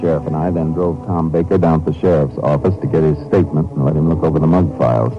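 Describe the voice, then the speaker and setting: An actor playing a steady, probing Texas Ranger investigator in a 1950s radio drama, with a clipped, matter-of-fact American delivery. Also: male voice, rumbling low, fast talker. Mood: matter-of-fact narrating. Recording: dull 1950s radio recording, music.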